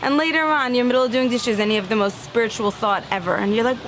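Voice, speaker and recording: A woman's voice: on the high side, racing, a little hiss or hum. Earnest woman giving a spiritual talk. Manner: earnest, animated, acts out inner voices; laughs lightly now and then. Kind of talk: inspirational religious talk.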